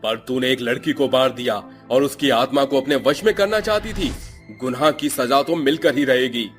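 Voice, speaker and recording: A man's voice: mid-range voice, normal speed, soft background music, close to an everyday voice. A man doing a stern village headman. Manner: commanding and firm. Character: stern middle-aged male village headman.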